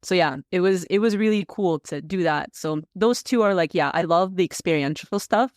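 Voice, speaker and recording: A man's voice: high-pitched, normal speed, no echo, studio quality. A man from Russia, whose first language is Russian, chatting as a podcast guest, rambling with many fillers and restarts. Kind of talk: casual interview chat.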